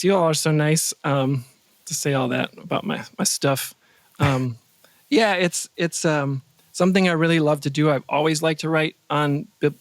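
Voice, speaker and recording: Male guest, slightly high voice, modest and chatty, dry recording. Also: no echo. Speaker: podcast guest, composer. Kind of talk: casual interview chat.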